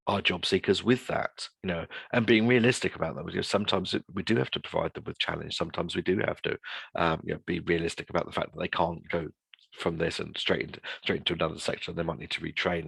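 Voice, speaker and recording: Gravelly, very deep-voiced man presenting. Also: a bit bright, no room sound. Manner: hedging, discursive, repeats phrases. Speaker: guest presenter on employability. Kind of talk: informal webinar presentation of survey findings.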